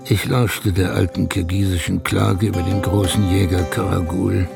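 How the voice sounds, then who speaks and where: An actor playing a grieving old herdsman who recalls his life in a measured, subdued radio drama narration, with a husky low voice. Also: fairly even intonation, music in the background. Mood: mournful.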